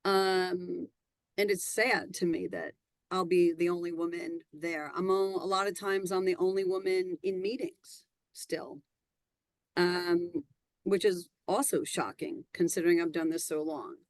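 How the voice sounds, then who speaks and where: A woman's voice: lowish voice, steady tone, measured pace, no room sound. A woman speaking on a restructuring panel, emphatic, repeating words for stress. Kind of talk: panel discussion.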